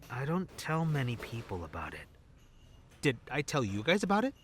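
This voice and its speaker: A man's voice: medium pitch, wildly swooping pitch, measured pace, fairly bright tone, a little hiss or hum. An actor playing a brash, wisecracking male secret agent with a street past, dramatic and slangy in an audio drama. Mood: guarded, confiding.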